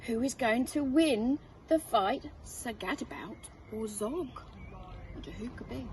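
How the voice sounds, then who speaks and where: A woman head teacher reading a children's storybook aloud with expressive narration. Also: on the high side, measured pace. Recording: distant mic, faint noise.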